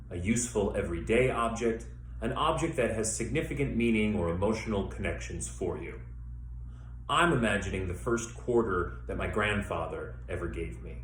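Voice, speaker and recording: A man with a fairly low voice and animated intonation leads a guided exercise as a teacher. He gives measured instructions one step at a time, leaving pauses. The room is echoey, with a little noise behind him. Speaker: theater educator leading an exercise.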